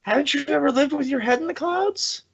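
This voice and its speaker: A man's voice: very high voice, a little fast, gravelly, a bit bright, muffled recording. A man from the American Northwest with an American accent, co-hosting a podcast in playful, joking casual chat. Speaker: podcast co-host.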